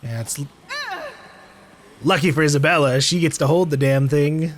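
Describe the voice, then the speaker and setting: A man's voice: on the high side, moderate pace, a little hiss or hum. A man giving casual let's play commentary in a playful way, calling out to the game's characters. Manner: playful, calls out to game characters. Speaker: gaming YouTuber, let's play host.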